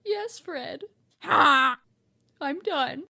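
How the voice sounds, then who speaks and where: A woman's voice: high-pitched, expressive, very slow, creaky, bright, forceful tone, full character voice. A woman voicing a timid, anxious mother swan in an audio drama, hesitant and pleading. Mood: tearful, pleading. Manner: hesitant, pleading, gulping, easily flustered. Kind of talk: audio drama cartoon-style character acting.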